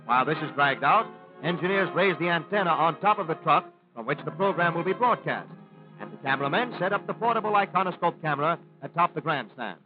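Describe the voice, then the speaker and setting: A man's voice: fairly high pitch, animated, quick pace, highs cut off, music in the background. A man with an American accent reading scripted narration in a formal, declarative 1930s newsreel announcer style. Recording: thin vintage 1930s film soundtrack.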